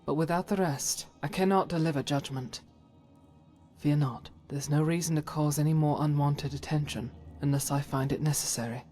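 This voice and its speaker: A woman playing a devout, stern holy warrior in a video game, speaking in solemn, formal, measured phrases. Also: low-pitched, dark tone, faint music behind. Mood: calm, firm. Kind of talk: scripted video game character dialogue.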